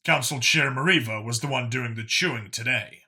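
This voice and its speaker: A man's voice: medium pitch, normal speed, bright, forceful tone. A man reading a scripted story as an audiobook narrator.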